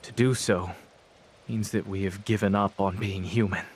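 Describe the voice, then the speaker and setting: An actor playing a proud, embittered soldier in a video game, solemn and intense in a formal way. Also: male voice, fairly low pitch, fairly even intonation, normal speed, slight background noise, natural voice. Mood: bitter, vengeful. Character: embittered, proud male soldier sworn to avenge comrades.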